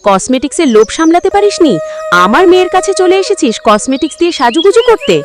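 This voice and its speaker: A woman's voice: extremely high pitch, very animated, quick pace, music in the background. A woman voicing a haughty, mean shopkeeper mother in a children's cartoon, snobbish and scornful. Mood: scolding, indignant.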